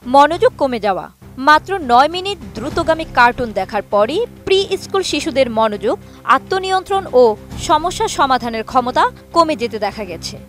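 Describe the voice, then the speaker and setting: Bangladeshi lady doing a news report voiceover, high pitched, really animated, bit of music behind. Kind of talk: scripted news report narration.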